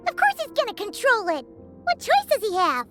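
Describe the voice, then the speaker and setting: Squeaky, tiny excitable fairy. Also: female voice, brisk, exaggerated voice. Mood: indignant. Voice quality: squeaky, childlike. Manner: exclaiming, indignant questioning. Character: tiny excitable fairy companion, childlike, chirpy. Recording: loud game music behind.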